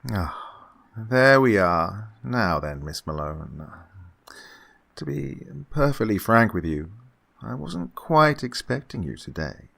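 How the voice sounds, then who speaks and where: Man acting as scheming genteel employer, fussy, falsely kind, slow delivery, swooping intonation, old-time radio drama. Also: lowish voice, sing-song, creaky, a bit bright, exaggerated voice. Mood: oily, genial. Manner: fussy, hesitant, repeats himself, chuckling. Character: unctuous, scheming gentleman employer feigning kindly absent-mindedness.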